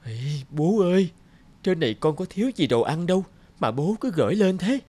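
A brisk, impatient young career woman. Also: fairly low pitch, sing-song, warm tone, no echo. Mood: annoyed, brisk. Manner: brisk, curt. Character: busy, impatient young woman fashion designer, dutiful daughter. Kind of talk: cartoon dialogue acting.

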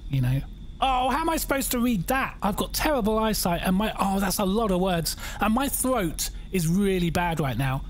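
A young man with a croaky voice, chatting and joking through a horror game as a gaming YouTuber. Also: sits high. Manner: chatty, jokey, reacting aloud. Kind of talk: casual let's-play commentary.

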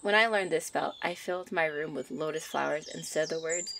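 Woman voicing a shy, gentle fairy over birdsong. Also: low-pitched, fairly quick, vocal fry, slight background noise. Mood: gently instructing. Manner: soft, encouraging, affectionate, giggly. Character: shy, gentle young female nature fairy, affectionate teacher. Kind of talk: ASMR roleplay. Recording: birdsong and outdoor ambience behind. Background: birdsong ambience.

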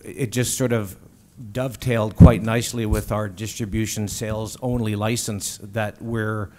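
A man with a low, mellow voice speaks as a business panelist at a table mic. He talks haltingly, restarting phrases with ums. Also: level tone, a little hiss or hum. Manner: hesitant, many restarts. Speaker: company executive panelist. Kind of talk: panel discussion. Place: conference stage.

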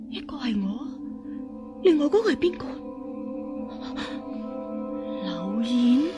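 An actress playing a scheming empress dowager in a radio drama, haughty but hesitant and deferential when cornered. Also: female voice, sits high, full character voice. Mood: frightened. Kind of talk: Cantonese radio drama acting.

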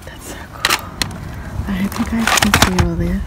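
A woman's voice: medium pitch, steady tone, moderate pace. A woman chatting casually for a vlog as she picks through finds, murmuring to herself and reading labels aloud. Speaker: reseller vlogger. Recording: loud handling and background noise.

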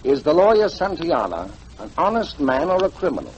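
Probing inspector, formal, raised pitch with big swings, vintage radio drama. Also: male voice, wildly swooping pitch, brisk, a little mellow, lo-fi sound, a little hiss or hum. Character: male police inspector posing mystery questions. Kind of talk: 1940s radio acting.

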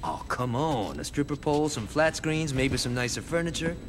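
A man's voice: a little high, moderate pace, a little hiss or hum, natural voice. A man voicing a brash, trigger-happy, wisecracking gangster sidekick in a video game, using sardonic, profane street slang. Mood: joking, grumbling. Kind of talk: video game cutscene acting.

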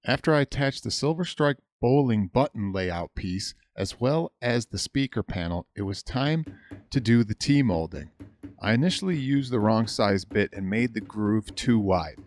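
A man's voice: on the low side, lively intonation, moderate pace, darkish tone, no echo. A middle-aged American man narrating a DIY build as a hobbyist, in a plain, matter-of-fact explanatory voice-over. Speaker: hobbyist video host.

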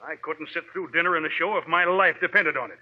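Male actor as curt, headachy boss, snappish, high voice, 1940s radio commercial, dull vintage sound. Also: fast, close to an everyday voice. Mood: irritable, curt. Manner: snappish, impatient. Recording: muffled vintage radio recording.

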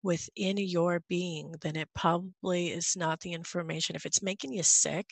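A woman's voice: fairly low pitch, fairly even intonation, normal speed, creaky, husky, no echo. A woman in her thirties, from Guatemala, speaking earnestly as a guest over a video call with a headset, with many fillers. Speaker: guest, podcaster. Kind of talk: casual video-call interview. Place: home room indoors.

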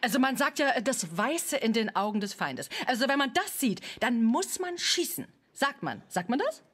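A woman playing a sensation-hungry talk show host in a satirical radio drama, husky, effusive and probing. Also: a little high, sing-song, moderate pace, fairly bright tone. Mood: gushing, probing.

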